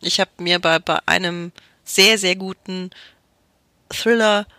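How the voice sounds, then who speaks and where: A woman co-hosting a casual podcast chat, making quick remarks with frequent hesitations. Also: lowish voice, fairly even intonation, pushed and bright, dry recording. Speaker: podcast co-host.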